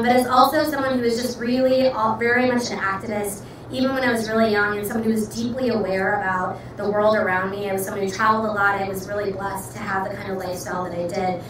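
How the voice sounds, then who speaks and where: Young american woman pitching her startup, lots of ums, far from the mic, boomy room with noise. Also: heavy reverb. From Pakistan. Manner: earnest, fast-flowing, many ums and likes. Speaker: startup founder and CEO. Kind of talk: pitch talk to a live audience. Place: event room with audience.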